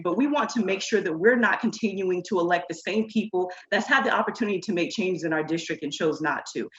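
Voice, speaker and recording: Woman with a low voice in a roomy, dull recording. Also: fairly bright tone. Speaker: candidate. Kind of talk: debate introduction.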